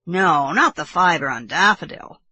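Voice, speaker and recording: A woman narrator acts out a jovial English uncle from a classic story. He is amused and teasing, exclaiming in big pitch swoops. The voice has a husky grain and a dry sound. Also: lively intonation, normal speed, bright, forceful tone, no room sound. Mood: hearty, brisk.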